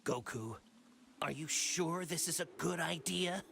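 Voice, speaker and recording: A man's voice: a little high, a little slow, creaky, slight background noise, full character voice. A man playing an earnest, sensible and wary young hero in an anime fighting game, questioning in manner. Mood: incredulous. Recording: loud music behind the voice.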